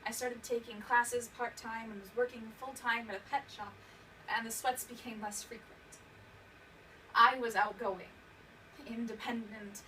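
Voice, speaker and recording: A woman acting a haunted young survivor of abuse in a dramatic stage monologue, halting and emotional, repeating words for emphasis. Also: on the high side, fairly even intonation, bright, forceful tone. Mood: guarded pride. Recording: distant mic, clearly noisy room recording.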